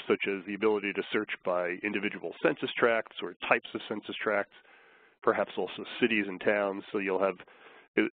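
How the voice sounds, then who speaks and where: A man getting over a flu, slightly hoarse, giving a measured, explanatory webinar presentation with frequent fillers. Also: fairly low pitch, no room sound. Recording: tinny phone line.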